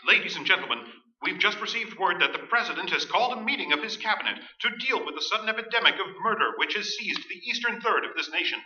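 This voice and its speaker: A man's voice: high voice, lively intonation, fast, sharp tone, heavily acted. An actor playing a grave, urgent male radio newsreader who delivers formal, emphatic bulletins in a staged radio play. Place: stage performance in an echoey room. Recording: dull, echoey live stage recording.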